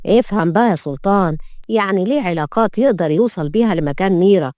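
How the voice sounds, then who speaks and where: A woman's voice: medium pitch, fast, a little mellow, dry recording, lightly acted. A woman voices a practical Egyptian man, a loyal pal in an audio story. The delivery is familiar and easygoing, and the recording is dry. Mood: helpful. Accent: Egyptian Arabic. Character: easygoing, helpful male friend of a businessman.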